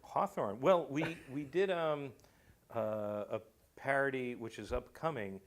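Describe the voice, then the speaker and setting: A man in casual talk-show conversation as a radio show creator, often pausing and restarting his phrases. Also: mid-range voice.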